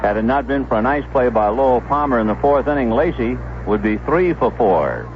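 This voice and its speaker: A man's voice: medium pitch, animated, fairly quick, lo-fi sound, noisy background. A man giving live play-by-play baseball commentary as a radio announcer on a 1970s broadcast.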